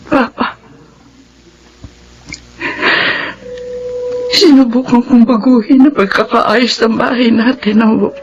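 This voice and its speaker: An actress playing a gentle, ailing and weary mother in a Filipino radio drama, emotional, with a Filipino accent. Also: fairly high pitch, dull audio, lightly acted. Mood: grieving.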